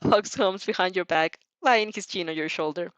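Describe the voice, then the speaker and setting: A woman reading fan fiction aloud as an expressive audio story narrator. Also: fairly low pitch, normal speed, dry recording, highs cut off.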